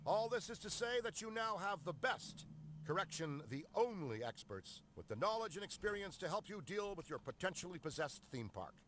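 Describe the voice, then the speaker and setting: An eager, nerdy paranormal scientist, pitched a bit high and bouncing all over with enthusiasm. He is wordy, technical and proud of his expertise. Faint park ambience sits behind him. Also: big pitch swings, moderate pace, a bit bright. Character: eager, nerdy male paranormal scientist, earnest and self-important. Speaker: game character, ghost hunter. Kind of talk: scripted game voice acting. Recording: game dialogue over park ambience noise.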